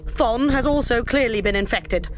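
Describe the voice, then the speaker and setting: A woman's voice: on the high side, normal speed, close to an everyday voice, low-quality recording. A British woman playing a bossy young lady posing as an imperious alien noblewoman in an audio drama, commanding and scolding. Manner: haughty, commanding, crisply scolding. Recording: faint background noise.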